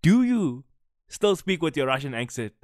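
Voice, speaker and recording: A man's voice: fairly high pitch, very animated, moderate pace, dry recording, clean studio sound. A young man, hosting a podcast in a casual, chatty style. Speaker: podcast host. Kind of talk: casual chat.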